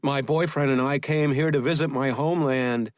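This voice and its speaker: A feisty, defiant woman agent, played by a male audiobook narrator, speaking well above his natural pitch. The manner is insistent, and the sound is dry and close. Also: steady tone, moderate pace, no echo, close to an everyday voice. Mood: feigned innocence. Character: feisty, defiant young woman agent feigning innocent tourist.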